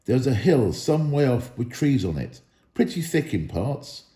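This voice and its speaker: A man reading a storybook aloud as an expressive narrator. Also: medium pitch, moderate pace, echoey room. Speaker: storybook reader.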